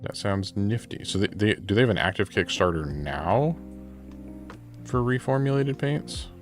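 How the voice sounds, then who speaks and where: A man chatting casually during a livestream while he paints miniatures, relaxed and rambling, often correcting himself. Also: on the low side, measured pace, faint music behind.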